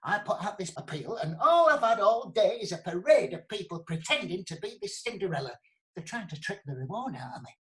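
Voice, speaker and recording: A man's voice: high voice, very animated, fairly quick, a bit bright. Bloke doing a fed-up panto queen, dead emphatic, voice going all over the place. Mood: exasperated. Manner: emphatic, complaining. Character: exasperated, world-weary pantomime queen, female. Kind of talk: comic pantomime storytelling.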